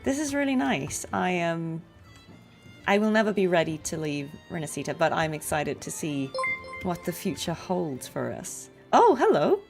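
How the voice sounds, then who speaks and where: A woman's voice: on the low side, very animated, normal speed, creaky, faint music behind. A woman live streaming a game, chatting casually in a musing, appreciative way.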